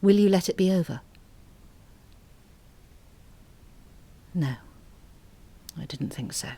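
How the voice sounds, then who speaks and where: A woman playing an articulate, bitter middle-aged mother in an audio drama monologue, speaking in a measured, intimate way. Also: lowish voice, animated, dark tone, a little hiss or hum. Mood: wounded, accusing. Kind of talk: dramatic monologue, letter read as confession.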